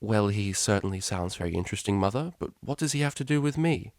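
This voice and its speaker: A man's voice: on the low side, expressive, fast talker, a little mellow, no echo, clean studio sound. A man voicing a proper young female pony cellist, flustered and easily exasperated, in a story reading. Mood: nervous. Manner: proper, stammering when flustered, indignant.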